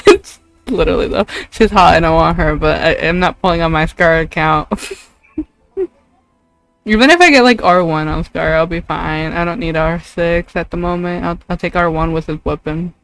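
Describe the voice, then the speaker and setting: A woman's voice: fairly low pitch, normal speed, gravelly, a bit bright, dry recording, poor audio. A woman streamer chatting casually and in a rambling, giggly way during a game livestream.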